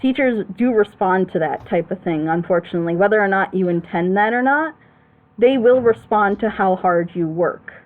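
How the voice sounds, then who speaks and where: Woman, medium pitch, opinionated reaction commentator, emphatic and conversational; dull, band-limited audio. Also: normal speed. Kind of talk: casual opinionated discussion.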